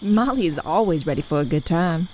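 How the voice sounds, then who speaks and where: A woman's voice: fairly low pitch, lively intonation, a little fast, a little mellow, a little hiss or hum, natural voice. A woman acting a shy, self-doubting teenage girl in a period audio drama. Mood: shy, playful. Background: crickets and night insects.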